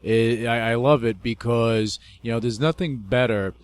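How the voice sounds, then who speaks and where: A man's voice: mid-range voice, moderate pace, clean tone. A man hosting a radio interview, talking in an effusive, rambling way. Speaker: radio show host. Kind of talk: casual interview chat.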